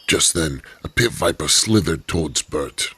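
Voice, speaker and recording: A man narrating an adventure radio drama in a measured storytelling manner. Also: very deep voice, expressive, a bit bright, slight background noise.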